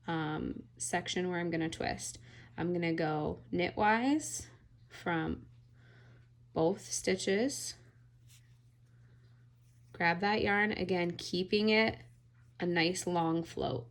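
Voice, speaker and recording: A woman giving a relaxed, step-by-step knitting tutorial, often checking in with "all right?". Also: fairly low pitch. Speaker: knitting instructor.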